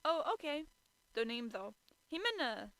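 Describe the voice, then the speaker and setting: Female amateur streamer, bright and high-ish, dramatic story reading with stumbles and exasperated asides. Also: very animated, normal speed, no echo. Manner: stumbling over words, theatrically exasperated. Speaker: streamer reading fan fiction aloud.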